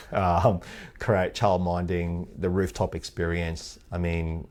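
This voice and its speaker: A man from Thailand raised in Australia, speaking with an Australian accent as an interview guest, relaxed and laughing often. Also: low-pitched, slow pace, slight background noise. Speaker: guest, head of sales operations. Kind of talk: casual interview chat.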